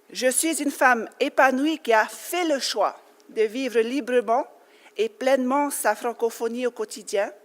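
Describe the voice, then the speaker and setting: French Polynesian woman speaking in a hall. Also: on the high side. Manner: earnest, with light laughs and fillers. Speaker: conference speaker. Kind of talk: personal speech to an audience. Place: conference hall. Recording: long hall reverb.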